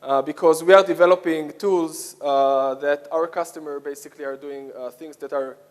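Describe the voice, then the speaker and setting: Halting Israeli man presenting in a reverberant hall. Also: on the high side, steady tone. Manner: halting, frequent hesitations and repeated phrases. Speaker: conference speaker, software product lead. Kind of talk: conference presentation to an audience. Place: conference hall, speaking to an audience. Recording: echoey hall with long reverb.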